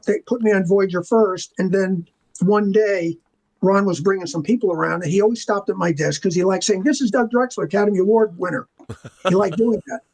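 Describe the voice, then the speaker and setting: Older guy with a pretty high voice, chatty interview storytelling, does the back-and-forth dialogue himself. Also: brisk, creaky, a little mellow, breathy edge, low-quality recording. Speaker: interview guest, veteran visual effects artist. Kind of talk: casual anecdotal storytelling in an interview.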